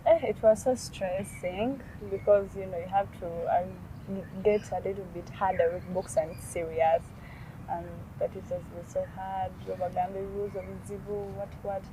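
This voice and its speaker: A young Ugandan woman being interviewed about her school years, speaking softly and thoughtfully while mixing Luganda and English. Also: medium pitch, steady tone, moderate pace, far from the mic, background noise. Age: young adult. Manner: soft-spoken, thoughtful, uses "like" and "you know". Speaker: guest, beauty queen.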